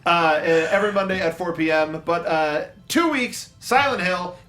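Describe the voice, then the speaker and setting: A man's voice: high voice, moderate pace, pushed and bright, faint music behind. An American man hosting a casual panel chat in a playful, jokey manner. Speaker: show host.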